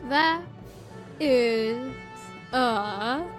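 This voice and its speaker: A woman playing a tabletop game, chatting casually and thinking her moves through aloud in a deliberate way. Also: a little high. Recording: music behind the voice. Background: game soundtrack music.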